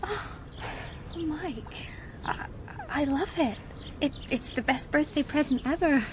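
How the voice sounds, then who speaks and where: A high, youthful woman's voice, a devoted young heiress in a radio drama. She is sweet and earnest, eager to be believed. Faint ambience sits behind her. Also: animated, slow pace, noisy background. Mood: tender. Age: young adult. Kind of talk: radio play acting.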